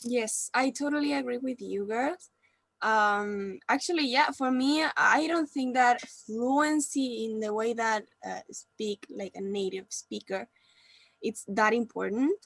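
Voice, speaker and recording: A woman's voice: on the high side, measured pace, vocal fry, a bit bright, no room sound, low-quality recording. A young woman with a Spanish accent, a university student agreeing thoughtfully in a class discussion.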